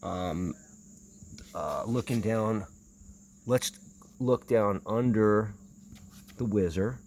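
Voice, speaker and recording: A man with a low voice talks his way through a close inspection on camera. He rambles and hesitates, often muttering to himself. There is faint noise behind him. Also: extremely slow, darkish tone. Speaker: vintage audio enthusiast presenting a video. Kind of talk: unscripted hands-on inspection commentary.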